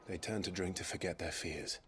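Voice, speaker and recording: An actor playing a grim, watchful outlaw in a video game cutscene, terse and brooding. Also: male voice, low voice, steady tone, fairly quick, fairly bright tone.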